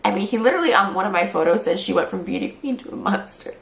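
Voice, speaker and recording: A woman in her early thirties records a vlog after very little sleep, hurt and upset, her speech full of fillers. Her voice has a gravelly fry under it. The sound bounces around an echoey room. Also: medium pitch, expressive, a bit bright. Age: 30s. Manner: tired, hurt and fast-talking, with many "like" fillers. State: short on sleep, near tears later. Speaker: YouTuber. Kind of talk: emotional response vlog.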